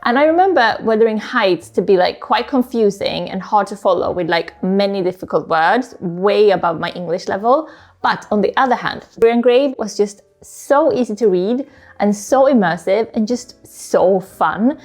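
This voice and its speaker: A woman's voice: mid-range voice, normal speed, darkish tone. A woman whose first language is Swedish, chatting casually and informally as she recommends books, often slipping in "like". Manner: chatty, informal, frequent "like" asides. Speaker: book YouTuber, host. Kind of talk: casual book recommendation talk to camera.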